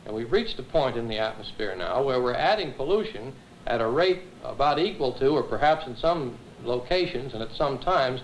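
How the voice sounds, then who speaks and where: A man, an atmospheric scientist, explains in a measured, academic way with halting self-corrections, in an old film interview. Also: medium pitch, expressive, poor audio. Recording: 1970s film interview, faint noise.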